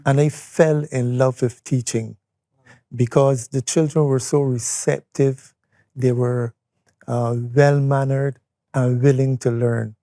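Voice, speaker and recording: A Barbadian man in his seventies reminiscing conversationally as an interview guest, unhurried and pausing as he tells his story. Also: mid-range voice, steady tone, warm tone, dry recording, clean studio sound. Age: 70s. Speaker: interview guest, retired or veteran educator. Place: studio.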